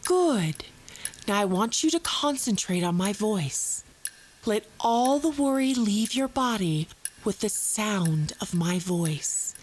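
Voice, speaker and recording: Woman acting calm lady psychologist, slow n soothing, hypnotist type, humming noisy old cassette. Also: mid-range voice. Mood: hypnotic. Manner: measured, coaxing, repetitive. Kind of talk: audio drama therapy session.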